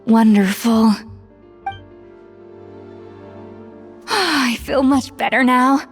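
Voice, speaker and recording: A woman's voice: a little high, slow pace. A woman playing a proud, exacting young chef in an anime-style game, articulate and emphatic, with a strict but earnest lecturing manner. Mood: blissful, relieved. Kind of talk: English anime game voice acting. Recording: studio voice over background music.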